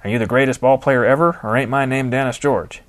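A male narrator voicing a gruff, ornery older man with a folksy way of talking. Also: medium pitch, fairly even intonation, brisk, pitched above the performer's own voice, close to an everyday voice. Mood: blustering. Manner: gruff, loud, folksy banter.

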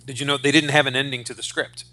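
A man's voice: mid-range voice, little pitch movement, quick pace, bright, forceful tone. A man in his forties co-hosting a podcast, talking casually about geek culture with enthusiasm and plenty of swearing. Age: 40s. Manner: profane, enthusiastic. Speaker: podcast co-host.

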